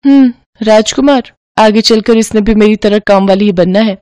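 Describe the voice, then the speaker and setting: A woman playing a scheming, sweet-talking young daughter-in-law in a cartoon story, with expressive delivery. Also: mid-range voice, normal speed, no echo, close to an everyday voice. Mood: resentful muttering.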